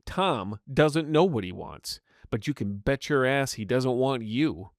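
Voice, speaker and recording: A man's voice: mid-range voice, animated, unhurried, no echo, studio quality. Man doing an aggressive, blunt tough guy. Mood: hostile. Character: hostile, protective, foul-mouthed male inner personality. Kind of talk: scripted audiobook character acting.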